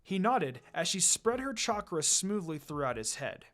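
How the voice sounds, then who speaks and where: A man's voice: sits high, very animated, moderate pace, fairly bright tone. A man reading fan fiction aloud as a dramatic scripted narration. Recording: music under the voice. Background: background music.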